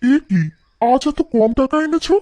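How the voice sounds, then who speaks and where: Man putting on a high, fretful village wife. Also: a little slow, a little mellow, no echo. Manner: fretful, lamenting. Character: worried, complaining wife of a poor village seller. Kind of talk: animated folktale voice acting.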